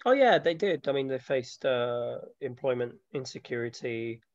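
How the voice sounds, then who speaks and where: A man, answering interview questions in a reflective, halting way. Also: medium pitch, lively intonation, no echo. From born in Slovakia, grew up from age seven in London. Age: 30s. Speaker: interviewee, film maker and photographer. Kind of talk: informal personal interview. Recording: dull lo-fi audio, dry.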